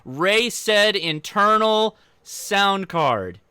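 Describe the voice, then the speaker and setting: Casting: American male hobby streamer, crisp bright voice, dry close sound, chatty and rambling. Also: high voice, expressive, extremely slow, no echo. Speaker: host of a ham radio channel. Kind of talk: casual livestream chat, answering viewer comments.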